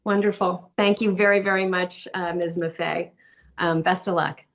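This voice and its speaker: A woman's voice: lowish voice, steady tone, moderate pace. A woman chairing an endorsement interview over a video call, speaking casually with many fillers. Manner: casual, filler-heavy, friendly. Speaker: committee chair moderating interviews. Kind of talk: moderating an online endorsement interview.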